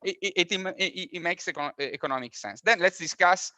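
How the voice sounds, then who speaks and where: A man whose first language is not English, speaking on a webinar panel with halting, repetitive phrasing. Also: high voice, sharp tone. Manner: halting, repeats words, non-native phrasing. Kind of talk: online panel discussion. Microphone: video call.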